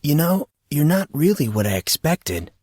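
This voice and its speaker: A man plays an uncertain teenage boy in an audiobook, guarded but earnest. He speaks hesitantly and tends to question, pitched a little up from a low adult register in a dry, close sound. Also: very animated, moderate pace, darkish tone, breathy edge, dry recording. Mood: hesitant, uneasy. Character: confused, uncertain fourteen-year-old boy, earnest and guarded. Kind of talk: acted audiobook dialogue.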